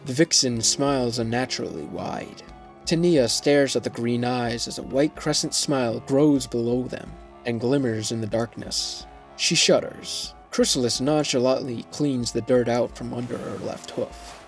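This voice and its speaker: A male narrator delivers scripted action and scene descriptions for an audio drama. His voice sits at an ordinary pitch, with faint music underneath. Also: a little slow.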